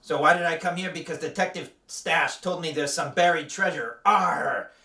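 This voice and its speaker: A man's voice: a little high, moderate pace, gravelly, bright, forceful tone, distant mic. A man voicing a boastful, threatening male pirate captain who keeps growling "arr", recorded in an echoey room away from the mic.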